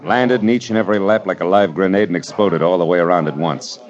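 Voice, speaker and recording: An actor playing a wisecracking, hard-boiled private detective who narrates with a dry, wry delivery in a 1940s radio drama. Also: male voice, low voice, fast, crowd noise, close to an everyday voice. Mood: cool. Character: wisecracking tough male private eye, middle-aged. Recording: dull old radio broadcast recording.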